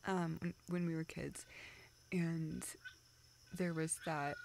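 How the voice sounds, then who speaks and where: A woman acting a tearful, self-deprecating young woman in an intimate audio roleplay, halting and rambling as she talks to a close friend. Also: low voice, flat delivery, gravelly, exaggerated voice. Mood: wistful, rambling. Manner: halting, rambling, soft laughs and whispered asides.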